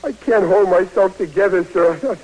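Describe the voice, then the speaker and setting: Stammering, heartsick young American soldier. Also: male voice, sits high, moderate pace, a little mellow. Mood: distraught. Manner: stammering, deferential, folksy. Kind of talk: old-time American radio drama acting. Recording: noisy old radio recording.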